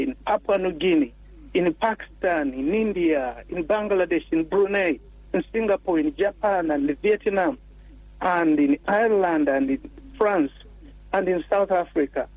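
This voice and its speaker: A priest from Uganda speaks earnestly and warmly as a radio guest, with a fairly high voice. He pauses and restarts often as he talks. The sound is dull and dry, as from a radio studio. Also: no echo. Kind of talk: radio interview.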